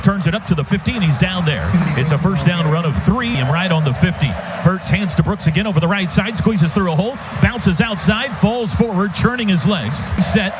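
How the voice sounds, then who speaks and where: An American man doing live football play-by-play on the radio in clipped phrases that build to excited shouts. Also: high-pitched, normal speed. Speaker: play-by-play announcer. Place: stadium radio booth. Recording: very noisy outdoor stadium broadcast. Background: loud stadium ambience.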